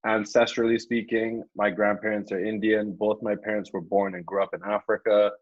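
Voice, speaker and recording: Casting: young man, husky low voice, earnest guest, dull lo-fi call sound. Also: flat delivery, normal speed, no echo. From Caribbean. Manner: earnest, repeats words while thinking. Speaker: podcast guest. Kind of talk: casual podcast discussion.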